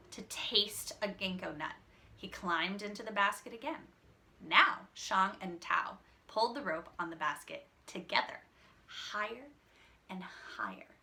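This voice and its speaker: A woman's voice: mid-range voice, bright, forceful tone. A woman reading a children's story aloud, dramatic and teacherly, with asides to the listeners.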